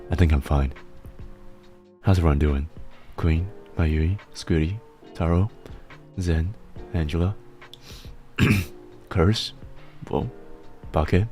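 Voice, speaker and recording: Male streamer, very low pitch, rambling and self-deprecating, slightly slurred speech, soft music underneath. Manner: rambling, self-deprecating, laughs often, reads chat aloud. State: slightly slurred speech from a healing tongue injury. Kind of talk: casual chat stream.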